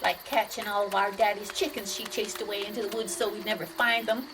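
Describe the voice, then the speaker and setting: A woman's voice: mid-range voice, little pitch movement, brisk, noisy background, natural voice. A woman playing a blunt, suspicious small-town sheriff who grumbles, in a comedy audio drama. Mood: grumbling.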